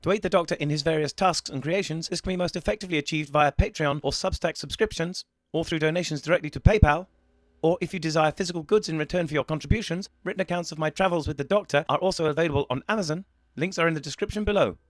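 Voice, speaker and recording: A man's voice: on the high side, quick pace, dry recording, close to an everyday voice. A man voicing a dutiful, servile robot companion, making a formal, stilted and polite scripted appeal to listeners. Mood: formal, deferential.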